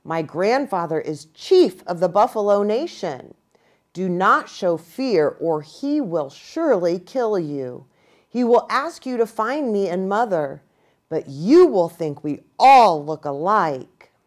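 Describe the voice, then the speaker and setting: A woman's voice: mid-range voice, big pitch swings, takes it slowly, darkish tone. A woman voicing a young buffalo calf boy in a storybook, earnest and urgent as he instructs. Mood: earnest warning.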